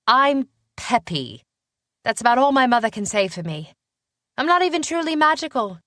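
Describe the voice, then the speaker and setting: Casting: female narrator as bubbly young British witch, high-pitched, girlish, self-deprecating and sassy, for a fantasy audiobook. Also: animated, measured pace, a bit bright, no echo, studio quality. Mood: pouting. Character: peppy, girlish young witch assistant, gullible and sweary.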